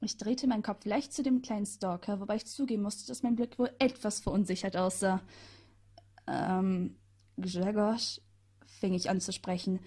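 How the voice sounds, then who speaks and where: A woman's voice: mid-range voice, brisk, few pauses, mellow. A woman narrating a first-person story aloud with chatty, sarcastic asides.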